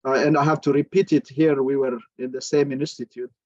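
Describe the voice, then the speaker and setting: A man moderating an online forum over a video call, courteous and halting with many filler pauses. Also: medium pitch, level tone, a little mellow, no echo, muffled recording.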